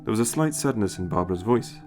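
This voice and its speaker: A man narrating a scripted story in an expressive storytelling style. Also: on the low side, fast talker. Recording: music behind the voice. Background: music.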